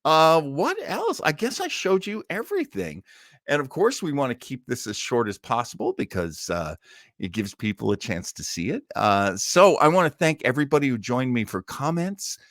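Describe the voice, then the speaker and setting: A man's voice: medium pitch, big pitch swings, moderate pace, fairly bright tone, dry recording, studio quality. A man hosting an online show, chatting casually in a rambling, folksy, enthusiastic way as he signs off to viewers. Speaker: host of an online listening-party show. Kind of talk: casual livestream sign-off chat.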